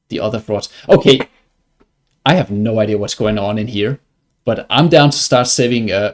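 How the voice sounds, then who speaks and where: A man from Denmark with a Danish accent, chatting casually and wryly as he comments on his livestreamed gameplay. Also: lowish voice. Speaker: game streamer.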